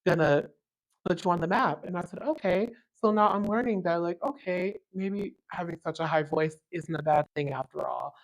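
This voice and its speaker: A young man with a very high tenor voice, hosting a casual podcast chat in an eager, enthusiastic way. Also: creaky, low-quality recording. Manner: eager, enthusiastic, quick to agree. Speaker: podcast host.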